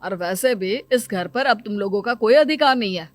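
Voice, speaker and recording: A woman's voice: mid-range voice, normal speed, darkish tone, soft background music, lightly acted. A woman voicing a stern, authoritative elder brother and father who lectures, in a cartoon moral story. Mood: cold, dismissive.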